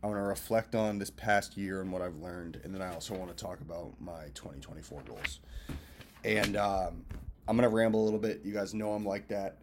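Laid-back, low-voiced man in his twenties. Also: a little fast, a little hiss or hum. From Massachusetts, US. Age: 20s. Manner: loose, profane, talks to viewers as friends. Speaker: filmmaker and event host vlogging. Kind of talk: casual rambling birthday check-in. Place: at a hotel.

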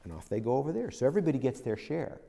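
Reflective middle-aged man with a dark voice. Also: medium pitch, big pitch swings, very fast, gravelly, in a large hall. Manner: reflective, rambling, with many restarts. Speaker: interview guest, business veteran. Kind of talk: storytelling in a casual interview.

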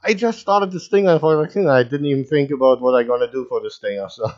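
A man at a middle pitch chatting easily as a podcast host, teasing his guest and breaking into laughter often. The audio sounds a little dull and lo-fi. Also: quick pace, darkish tone. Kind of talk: casual chat.

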